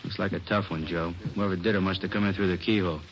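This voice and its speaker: An actor playing a brisk, businesslike police officer reporting offhandedly, in 1940s radio drama style. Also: male voice, sits low, steady tone, a little hiss or hum. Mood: brisk, offhand. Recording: muffled 1940s radio recording.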